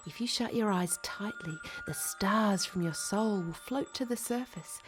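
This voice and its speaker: An actress playing a gentle young 19th-century servant in a radio play, soft and earnest. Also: female voice, medium pitch, a little slow, faint music behind, natural voice. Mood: tender, hushed. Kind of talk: period radio drama acting.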